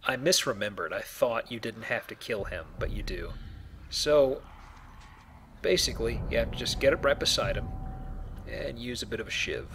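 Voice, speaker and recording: A man hosts a casual playthrough in a mid-pitched voice. He explains as he goes and tends to ramble. There is background noise and game ambience behind his commentary. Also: moderate pace. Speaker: let's play host, playing the game.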